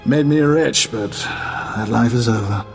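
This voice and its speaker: An actor playing a shady retired tycoon in a video game, guarded, brusque and condescending. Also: male voice, mid-range voice, takes it slowly. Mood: dismissive, guarded. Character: wary, dismissive, shady retired male tycoon. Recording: faint game music behind the voice.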